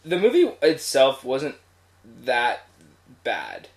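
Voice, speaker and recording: Animated man chatting about movies. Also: medium pitch, takes it slowly, creaky. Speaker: movie review panelist. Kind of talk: casual review chat.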